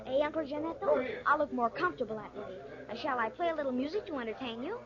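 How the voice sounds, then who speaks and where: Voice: high-pitched, expressive, fast. An actor playing a sly, greedy, cocky young boy in 1940s radio drama style, teasing and mocking. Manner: mocking, haggling, insolent. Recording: muffled old radio recording, crowd behind.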